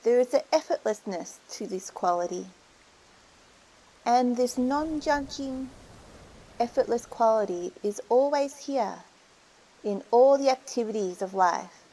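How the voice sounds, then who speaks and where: A woman leading a guided meditation, giving soothing, unhurried instructions with pauses between phrases. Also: a little high, lively intonation, warm tone, low-quality recording.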